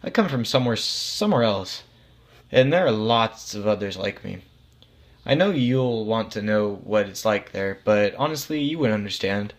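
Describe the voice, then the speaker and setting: A man's voice: on the low side, animated, normal speed. A man voicing God in a story as a casual, reassuring authority figure who explains things patiently and matter-of-factly. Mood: earnest, explaining. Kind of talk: acted storybook dialogue.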